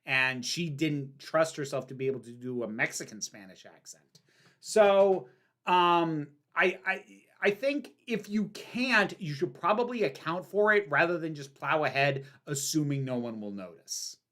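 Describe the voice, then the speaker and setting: A woman's voice: low-pitched, expressive, a little slow, a bit bright. Chatty woman hosting a livestream. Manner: chatty, candid, frequent ums and restarts. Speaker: livestream host. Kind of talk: casual livestream Q&A, reading chat questions.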